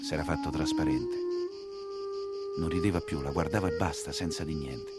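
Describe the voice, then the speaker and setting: A man's voice: a bass voice, wildly swooping pitch, moderate pace, loud music behind the voice, full character voice. An actor playing an earthy, talkative 19th-century coachman with a Roman accent, telling his tale in a colloquial theatrical dialect monologue, exclaiming and confiding. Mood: hushed wonder. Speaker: stage and radio actor.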